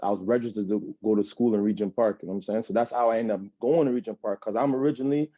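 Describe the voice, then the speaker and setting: A man's voice: fairly low pitch, rapid-fire, warm tone, dry recording. A man in his thirties with a Jamaican accent, telling his own story as an interview guest in a loose, rambling way, often checking in with "you know what I'm saying". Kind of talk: personal account in a sit-down interview. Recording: dull lo-fi sound.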